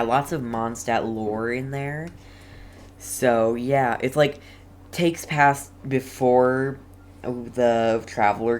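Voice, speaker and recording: Streamer rambling thru a game, slow n halting, casual, bit of noise and typing behind. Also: medium pitch.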